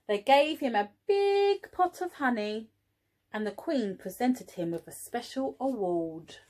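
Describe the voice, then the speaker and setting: Animated woman reading a children's storybook slowly. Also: a little high. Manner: expressive, pauses for asides to child listeners. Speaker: early years teaching assistant reading a storybook. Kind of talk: animated picture-book reading for young children.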